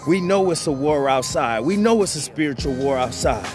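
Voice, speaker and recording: A man with a slightly raised pitch talks straight to his viewers in a blunt, profane motivational monologue. He repeats his points and keeps calling them "bro". Music plays clearly behind him. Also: expressive, normal speed. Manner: blunt, profane, repetitive, calls listeners "bro". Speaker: channel host giving advice.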